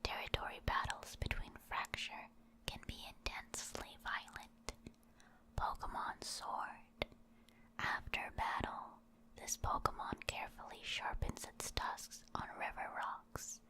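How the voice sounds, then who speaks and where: A woman reading short reference entries as ASMR in a soft, soothing, deliberate whisper. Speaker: ASMR creator reading game creature entries.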